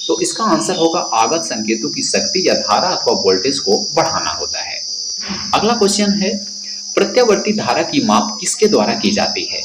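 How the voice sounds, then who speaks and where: A man teaching an exam quiz lesson, speaking directly to students and repeating questions and answers. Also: medium pitch. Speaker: exam-prep instructor. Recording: echoey room, loud insect-like background noise.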